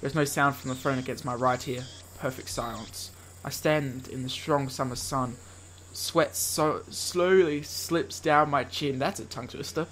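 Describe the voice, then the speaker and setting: A man's voice: mid-range voice, a little slow, fairly bright tone, slight background noise. A man hosting a let's play, informally commenting and reading a visual novel aloud. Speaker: gaming video host.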